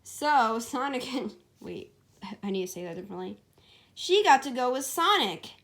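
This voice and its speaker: A woman with a fairly high voice tells a story in an amateur, informal style. She corrects herself as she reads and drops in casual asides. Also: very animated, normal speed, fairly bright tone. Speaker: storyteller and writer.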